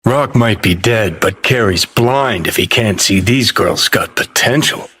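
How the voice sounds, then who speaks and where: An American man playing a cynical, foul-mouthed, world-weary rebel rock star in a video game, with a gravelly, husky voice and a clipped, sardonic, swaggering drawl. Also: on the low side, unhurried, fairly bright tone. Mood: jaded, musing. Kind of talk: video game character dialogue.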